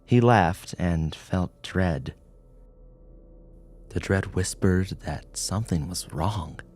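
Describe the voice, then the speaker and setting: Deep, slow male horror narrator. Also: a little mellow, slight background noise. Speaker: audiobook narrator. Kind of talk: scripted horror story narration.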